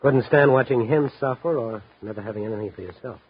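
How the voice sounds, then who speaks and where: An actor playing a steady, world-weary newspaper reporter in 1950s radio drama, wry, clipped and hard-boiled. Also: male voice, medium pitch, fast, husky, natural voice. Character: steady, world-weary male big-city night-beat newspaper reporter. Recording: muffled 1950s radio recording.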